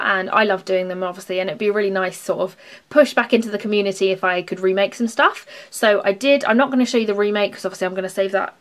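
Chatty woman, rambling craft vlog. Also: mid-range voice. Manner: chatty, rambling, many ums and likes. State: losing her voice, sore throat. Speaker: craft channel host. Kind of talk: casual rambling show-and-tell. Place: indoors at a craft table.

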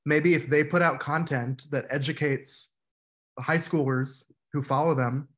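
A man in his thirties leading an online workshop on a video call, explaining points in a measured way while his voice goes hoarse. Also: on the high side, steady tone, a bit bright. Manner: measured, explanatory, says "right?" often. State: losing his voice, clearing throat. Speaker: workshop facilitator. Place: room at home, indoors.

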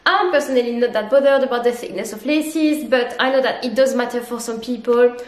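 A woman's voice: a little high, a little fast, echoey room. A young woman with a congested, stuffy voice from a cold, chatting upbeat to camera as she reviews running shoes at home. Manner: chatty, upbeat, explains step by step. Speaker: running vlogger. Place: living room at home, indoors.

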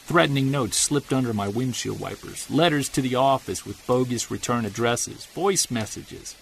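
An actor playing a weary middle-aged Bigfoot investigator, narrating an audio diary in an earnest, confessional way. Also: male voice, medium pitch, normal speed, gravelly, slight background noise, lightly acted, low-quality recording. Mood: troubled. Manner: confessional, earnest, quietly troubled. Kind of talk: scripted audio drama narration.

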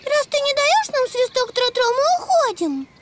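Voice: very high-pitched, big pitch swings, moderate pace, heavy background noise. A performer voicing an assertive little girl donkey of preschool age in a cartoon dub, childlike and insistent.

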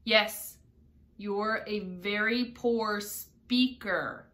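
A woman voicing a bossy, short-tempered storybook king who speaks in a sharp, commanding way. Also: mid-range voice, very slow.

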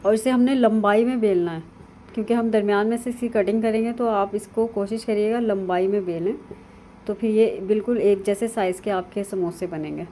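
A woman, calmly narrating a cooking lesson step by step over video of her hands at work. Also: mid-range voice, brisk, slight background noise. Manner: calm, instructive, with frequent fillers. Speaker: cooking channel host. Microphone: voice-over over hands-only video.

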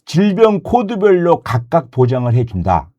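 A man's voice: fairly high pitch, huge pitch swings, normal speed, dark tone, highs cut off. A man in his sixties, an insurance advisor explaining coverage in an emphatic sales talk, often repeating key points and correcting himself mid-word. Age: 60s.

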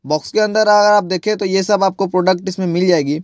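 A man's voice: sits high, fast talker, no room sound, studio quality. A man hosting a casual moto vlog, chatting step by step through a setup with an earphone mic tucked in his helmet. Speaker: moto vlogger. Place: riding a motorcycle, then at a shop table. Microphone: earphone mic tucked inside a helmet.

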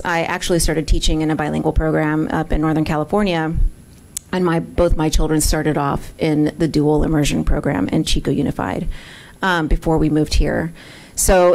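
A woman in her fifties whose first language is Spanish, giving a public comment at a school board podium, halting with many restarts. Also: deep voice, a little mellow. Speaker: public speaker. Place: school board meeting room. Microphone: podium microphone.

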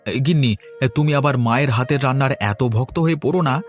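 A man's voice: mid-range voice, fast talker, faint music behind, natural voice. Man voicing a teasing city husband. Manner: teasing, pleading. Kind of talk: cartoon voice acting.

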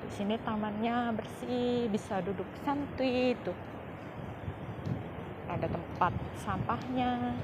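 A woman narrates a casual walking vlog in a slow, unhurried way, her voice sitting a little high. She is recorded on a handheld phone, outdoors and in a shopping mall. Clear street and mall noise runs behind her. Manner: chatty, relaxed commentary while walking. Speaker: vlogger. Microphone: handheld phone camera. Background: street and mall ambience.

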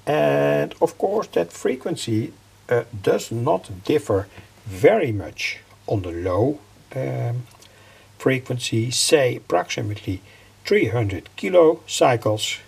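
Older guy explaining electronics informally, really gravelly, takes his time, stops and repeats himself. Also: mid-range voice, expressive, a little mellow. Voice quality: gravelly, husky. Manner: halting, repeats phrases, frequently says "say". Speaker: electronics hobbyist presenter.

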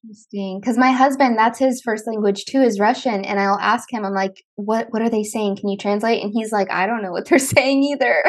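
A young woman hosting a faith podcast, chatting enthusiastically with a guest, filler words scattered throughout, into a boom mic at home. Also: brisk, hardly any pauses. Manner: chatty, enthusiastic, many likes. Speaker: podcast host. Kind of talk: casual interview chat. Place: room at home.

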